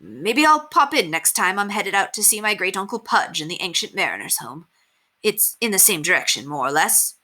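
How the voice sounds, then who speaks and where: A woman's voice: mid-range voice, sing-song, moderate pace, sharp tone. A woman voicing a friendly, sheepish young man with breezy, old-fashioned phrasing in a storybook reading. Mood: flustered, cheery.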